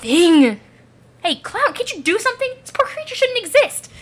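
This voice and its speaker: A woman's voice: extremely high pitch, sing-song, unhurried, pitched above the performer's own voice, full character voice. A woman voicing a polite, well-meaning young woman who speaks in a proper, apologetic way. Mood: alarmed pity.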